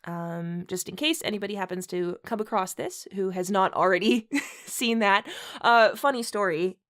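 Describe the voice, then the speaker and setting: A woman's voice: medium pitch, moderate pace, a bit bright. A woman hosting a comedic read-aloud with casual chat, often breaking into laughter as she talks. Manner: giggly, breaks into laughter while talking. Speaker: host and reader.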